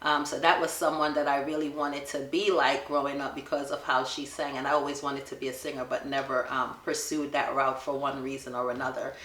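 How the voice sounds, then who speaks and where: A middle-aged woman with a Jamaican accent, telling her son stories in a relaxed family question-and-answer chat and laughing often. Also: very deep voice, brisk, few pauses, roomy sound. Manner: warm storytelling, laughs often.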